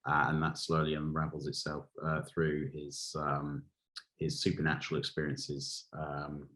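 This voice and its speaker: A man's voice: extremely low pitch, a bit bright. A man from Wales answering interview questions as a film director, speaking hesitantly and searching for his words.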